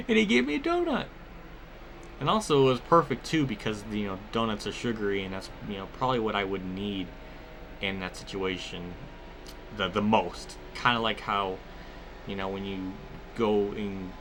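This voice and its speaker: A man's voice: medium pitch, pushed and bright, slight background noise. A man giving casual, rambling gameplay commentary mixed with personal storytelling, conversational and free with swearing. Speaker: gaming video creator.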